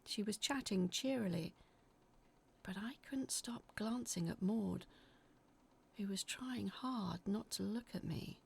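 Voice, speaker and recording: A woman plays an anxious storyteller in a horror audio drama. Her mid-pitched voice is husky, with plenty of creak, and she confides her fears in an uneasy, dramatic way. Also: slow, a little mellow, full character voice. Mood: uneasy, confiding. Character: anxious woman reading out her frightened letters about sinister events. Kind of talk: scripted first-person horror narration.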